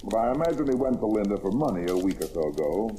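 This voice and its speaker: Wry radio detective, mellow and animated. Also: male voice, lowish voice, fast. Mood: confident, wry. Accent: American. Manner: wry, confident, hard-boiled delivery. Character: shrewd, wisecracking male detective explaining the crime. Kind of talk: old-time radio crime drama acting. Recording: noisy vintage radio recording.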